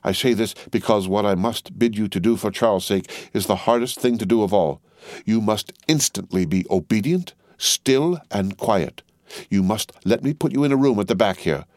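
A man voicing an anxious, devoted elderly gentleman in a storybook reading, earnest and imploring. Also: on the low side, moderate pace, no room sound, clean studio sound, natural voice. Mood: urgent, soothing.

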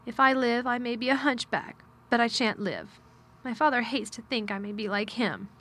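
A sickly young boy, voiced by a woman in a storybook reading. He speaks in a raised pitch, plaintive and peevish, fretting and feeling sorry for himself. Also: moderate pace, fairly bright tone. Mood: fretful, gloomy. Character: sickly, fretful, self-pitying young boy. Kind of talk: audiobook character dialogue.

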